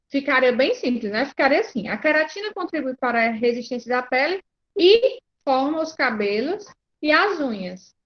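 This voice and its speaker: A woman's voice: sits high, dull audio. Patient Brazilian woman teacher online. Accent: Brazilian Portuguese. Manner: patient, checks in often with "né?" and "certo?". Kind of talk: online class. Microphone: video call.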